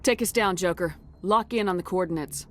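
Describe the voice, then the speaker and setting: A woman's voice: lowish voice, fairly quick, a little hiss or hum, lightly acted. A woman playing a decisive, commanding space squad commander in a sci-fi game.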